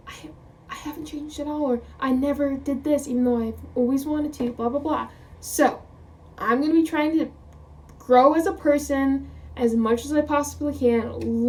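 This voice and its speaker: A woman's voice: high-pitched, darkish tone, recorded from a distance. A woman of twenty talking casually to camera as a vlogger, rambling, quoting her own thoughts and laughing often. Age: 20s.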